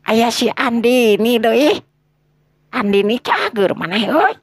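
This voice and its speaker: A man voicing a brash, coarse, mocking long-haired wanderer in a folktale, with rough slangy speech broken by cackles. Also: very high voice, no room sound, studio quality, voice pushed up, heavily acted. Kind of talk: Sundanese folktale character acting.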